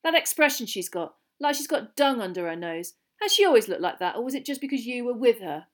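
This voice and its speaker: A woman's voice: a little high, sing-song, brisk, voice pushed up. A woman voicing a defiant, loyal teenage schoolboy with curt, cutting retorts, in a storybook reading. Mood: defiant, scornful.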